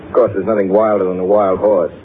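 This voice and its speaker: An actor plays a reserved, cynical gentleman with a lowish voice. He speaks in laconic, polite, dry lines in the manner of 1930s radio drama. The sound is narrow with faint noise. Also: little pitch movement, fast, close to an everyday voice. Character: aloof, dry, cynical gentleman horse-lover.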